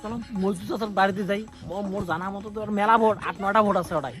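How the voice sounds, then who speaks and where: Man playing a high-voiced, cheeky election aide. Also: steady tone, very fast, a bit bright, soft background music. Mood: teasing. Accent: rural North Bengal. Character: cheeky, sly male election aide to a village politician. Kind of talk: amateur village comedy skit acting.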